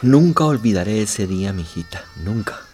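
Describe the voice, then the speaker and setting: An actor playing a gentle, affectionate older country father with a Central American accent in a radio drama. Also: on the low side, animated, normal speed, soft background music, natural voice. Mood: tender, relieved. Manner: tender, affectionate, rustic.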